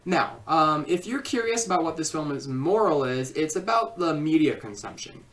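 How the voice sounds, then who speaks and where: A film reviewer giving an informal critique in a halting way, often repeating and rephrasing, recorded at a distance from the microphone. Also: mid-range voice, big pitch swings, fairly bright tone. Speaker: video reviewer and host. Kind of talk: informal scripted film review with ad-libbed asides.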